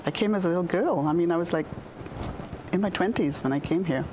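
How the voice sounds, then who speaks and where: A woman in her sixties from the Bahamas, a guest doctor telling her story in a studio interview, unhurried, with many fillers and restarts. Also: on the low side, background noise. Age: 60s. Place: television studio.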